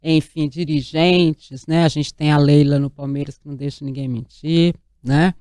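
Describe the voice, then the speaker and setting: A woman's voice: low voice, fairly even intonation, normal speed, warm tone, dry recording, studio quality. A woman hosting an interview show, speaking enthusiastically and emphatically, often tagging her sentences with "né?".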